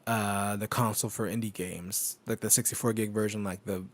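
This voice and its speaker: A man with a low voice chatting casually on a home live stream, rambling with many fillers and frequent laughs, into a microphone on an arm. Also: fairly even intonation, normal speed. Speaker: tech streamer. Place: home desk setup. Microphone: microphone on an arm through an audio interface.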